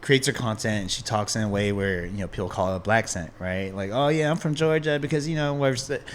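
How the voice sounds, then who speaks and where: A man hosting a podcast, chatting casually with loose, relaxed storytelling and lots of filler words. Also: lowish voice, fast talker, slight background noise. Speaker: podcast host. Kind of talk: casual chat.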